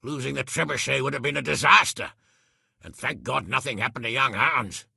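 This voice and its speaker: A hot-tempered medieval lord and commander from a video game. His voice is very forceful and hard-edged, gravelly and husky, with blunt, blustering delivery. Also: mid-range voice, fairly quick, dry recording, studio quality, full character voice. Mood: grave, weary. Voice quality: raspy, gravelly. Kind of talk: dramatic video game voice acting.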